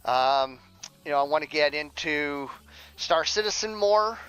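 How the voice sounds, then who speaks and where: A man with a high, smooth voice talks casually to a livestream. He rambles, circling back and repeating words as he goes. The sound is a little dull, with music playing behind him. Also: expressive, a little slow, sharp tone. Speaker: streamer and audio drama writer.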